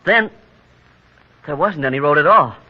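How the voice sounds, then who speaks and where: A man playing a shaken rustic milk driver in a 1940s radio drama, speaking haltingly. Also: a little high, animated. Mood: shaken, dazed. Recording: muffled vintage radio.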